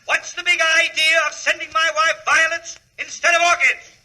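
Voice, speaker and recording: An actor playing an imperious, indignant husband and customer in 1940s radio comedy, speaking in a pompous, precise way. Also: male voice, very high-pitched, flat delivery, normal speed, bright, forceful tone, full character voice. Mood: irate, demanding. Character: irate, imperious, lovestruck wealthy husband and customer. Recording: vintage 1940s radio broadcast.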